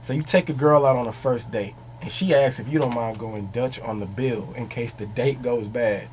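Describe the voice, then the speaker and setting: Upbeat young podcast host. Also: male voice, mid-range voice, normal speed, a little hiss or hum. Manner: upbeat, animated questioner. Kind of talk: casual podcast interview. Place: small podcast studio with wall panels. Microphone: studio mic on arm, wearing headphones.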